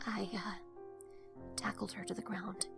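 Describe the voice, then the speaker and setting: A woman's voice: medium pitch, flat delivery, unhurried, gravelly, soft background music. A woman acting in an audio drama as an earnest, uneasy teenage daughter, hesitant, subdued and confessional. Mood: sheepish.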